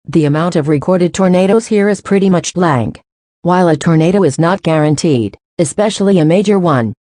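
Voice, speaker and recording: A woman playing a serious, composed local television news host, reading a scripted broadcast in a formal, measured way. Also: on the low side, dark tone, no echo, studio quality, natural voice. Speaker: news anchor.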